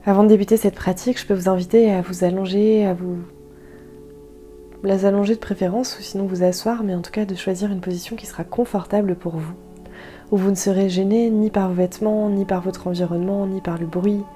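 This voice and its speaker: A woman guiding a yoga nidra meditation in a soft, calm, soothing way, leaving gentle pauses. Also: medium pitch. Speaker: guided meditation instructor.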